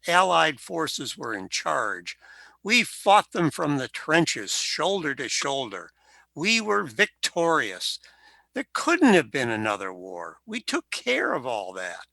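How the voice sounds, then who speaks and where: A man playing a slow, gravelly veteran ghost. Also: on the high side, very animated, pushed and bright, no room sound, full character voice. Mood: proud. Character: male World War One veteran ghost, wistful, proud. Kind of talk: amateur radio play acting.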